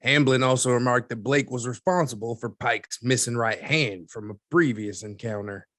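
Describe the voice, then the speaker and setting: A man acting as a skeptical newspaperman in an audio drama interview. His mid-range voice is dry and wry as he explains in a measured way, recorded with no room sound. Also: medium pitch, fairly bright tone, dry recording, lightly acted. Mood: matter-of-fact. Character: dry, skeptical newspaperman recounting archived cases.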